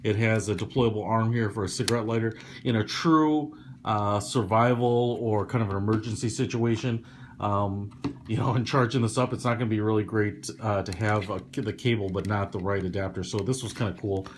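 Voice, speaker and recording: A man's voice: fairly low pitch, steady tone. Man demonstrating a gadget over background noise. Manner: explanatory, measured, hedging opinions. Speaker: product reviewer. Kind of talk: casual hands-on gadget demonstration.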